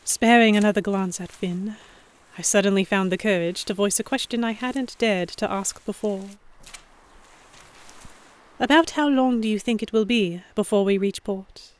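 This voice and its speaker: A woman voicing a young noblewoman heroine, telling her own story in an audio drama. She sounds fretful and introspective, tentative when she speaks up, at a middling pitch. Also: moderate pace, natural voice. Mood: anxious, introspective. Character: anxious young noblewoman heroine narrating her captivity among pirates. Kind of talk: first-person scripted narration in audio drama.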